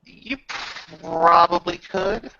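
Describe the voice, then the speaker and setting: Gravelly, forceful man running a game. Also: high-pitched, very slow, highs cut off. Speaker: game master. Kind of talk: tabletop roleplay narration and casual rules talk.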